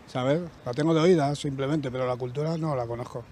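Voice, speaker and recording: A man's voice: medium pitch, fairly even intonation, fairly quick, loud music behind the voice. A middle-aged man on a city street, hesitantly guessing his answers into a handheld mic. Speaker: passer-by. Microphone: handheld mic held by interviewer.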